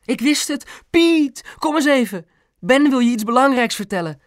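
A man voicing an excitable, dramatic mother with an emphatic delivery in an audiobook. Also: high voice, moderate pace, no echo, clean studio sound, pitched above the performer's own voice. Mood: thrilled, gushing. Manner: gushing, emphatic, scolding when crossed. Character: excitable, dramatic mother of a boy, proud nail technician. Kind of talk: audiobook character voice.

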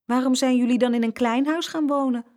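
A woman's voice: sits high, a little fast, darkish tone, pitched above the performer's own voice, natural voice. A woman voicing a small, stubborn young boy with a headstrong, plucky manner in a children's storybook. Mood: challenging. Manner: tough-talking, headstrong. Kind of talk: children's storybook character reading.

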